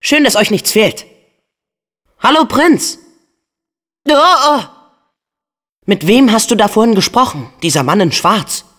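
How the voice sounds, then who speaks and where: A brave teenage boy hero from a video game, earnest and eager. The voice is youthful and very animated, swinging widely in pitch. The words come at an unhurried pace, each delivered with open, excitable feeling. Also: heavily acted. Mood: eager, friendly. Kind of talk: video game character dubbing.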